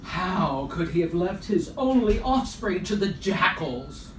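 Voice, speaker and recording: A woman's voice: on the low side, sing-song, a little slow. A woman playing a bitter, dramatic heir telling a tale with theatrical indignation in a rehearsed radio play. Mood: indignant. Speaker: actor. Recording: noisy, echoey, distant rehearsal audio.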